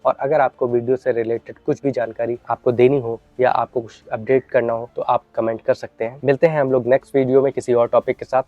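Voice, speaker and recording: A man's voice: medium pitch, level tone, a little fast, few pauses, warm tone, no room sound, highs cut off, soft background music. A man hosting an informal explainer, advising viewers directly in an instructive, repetitive way and mixing in English words. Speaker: host of an explainer video channel.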